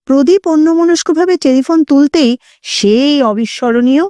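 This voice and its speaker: A woman narrating an audio story in an expressive, suspenseful, dramatic scripted style. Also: sits high, normal speed, no room sound, clean studio sound.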